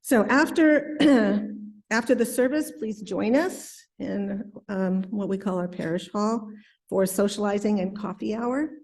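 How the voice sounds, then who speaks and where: A woman leading a church service, speaking slowly and in a measured way. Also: mid-range voice, a little mellow. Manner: slow, measured reading. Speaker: service leader.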